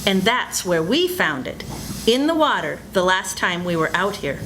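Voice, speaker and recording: An older woman voicing a fearful woman named Laura in an amateur live radio play, speaking in a hushed, ominous way. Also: fairly low pitch, sing-song, normal speed, a little hiss or hum, rough recording.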